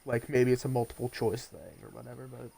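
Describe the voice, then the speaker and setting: A man's voice: medium pitch, brisk, creaky, mellow, a little hiss or hum. A teenage male streamer with a congested, nasal voice, chatting casually and rambling as he plays. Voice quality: nasal, congested from post-nasal drip. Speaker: video game streamer. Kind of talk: casual chat while gaming on a livestream.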